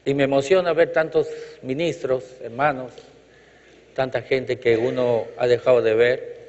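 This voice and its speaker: A man's voice: medium pitch, steady tone, a little slow, darkish tone. A male preacher from Chile giving a sermon with emphatic, rhythmic repetition and light jokes to the congregation. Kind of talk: sermon with congregational call-outs. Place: church hall. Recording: reverberant hall, dull lo-fi sound.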